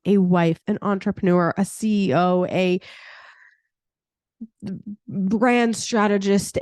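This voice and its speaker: A woman in her thirties talks straight into a close mic in a dry, deadened room, at a middle pitch. She chats casually as a podcast host, sprinkling in "like" and breaking into laughter. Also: darkish tone, no echo. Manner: chatty, laughs often, fillers like "like". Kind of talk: casual solo podcast talk.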